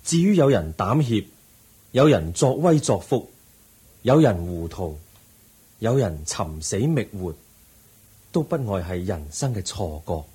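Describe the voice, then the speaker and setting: An actor playing the Buddha as a calm, patient teacher in a Cantonese radio drama, speaking in a measured, instructive way. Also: male voice, lowish voice, breathy edge, exaggerated voice. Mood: teaching. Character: calm wise male Buddha teaching gently.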